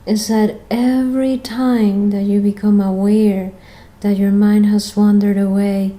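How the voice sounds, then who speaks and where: A woman guides a meditation in a gentle, soothing way. Her delivery is slow and unhurried, with long pauses between phrases. The tone is relaxed and mellow, and her pitch stays even at a middle height for a female voice.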